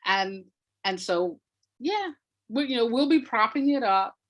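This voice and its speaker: A woman speaking as an interview guest, reflective and emphatic, deliberately repeating words to stress her points. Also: fairly high pitch, expressive. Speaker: interview guest, audio drama writer.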